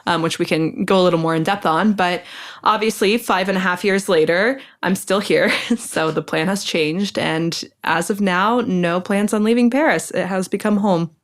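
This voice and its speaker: A young woman from Ohio, a podcast guest, chatting in a relaxed way with dry humor. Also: on the low side, normal speed. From Ohio, USA. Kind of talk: casual interview chat.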